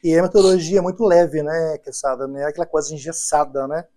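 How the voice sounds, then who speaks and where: Young male psychologist guest, warm mellow tone, talkative storyteller. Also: fairly high pitch, steady tone, fast. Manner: affirming, storytelling, frequent "né" and "perfeito". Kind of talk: podcast interview chat.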